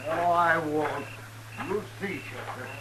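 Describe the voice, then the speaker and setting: A man's voice: a little high, slow pace, sharp tone. An actor playing a mean, drunken gambler and gunman, sullen and threatening, in a 1950s radio western. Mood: sullen menace. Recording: noisy vintage 1950s radio recording.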